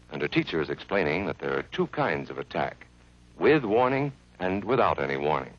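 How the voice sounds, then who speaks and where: A man's voice: sits low, lo-fi sound. A man narrating an educational film for children in an earnest, friendly, instructive way, from an old 1950s soundtrack.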